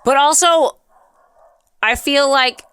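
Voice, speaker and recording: A woman chatting casually as a live streamer, talkative and rambling, with an expressive, playfully dramatic way of talking. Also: high-pitched, slow, no echo. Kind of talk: casual chatty livestream commentary.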